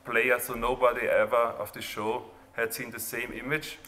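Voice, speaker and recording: Bright-voiced Austrian man presenting in a hall. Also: mid-range voice, flat delivery, normal speed. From rural Austria near the Hungarian border. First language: German. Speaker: artist presenting own project. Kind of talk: informal live presentation. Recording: reverberant hall, background noise.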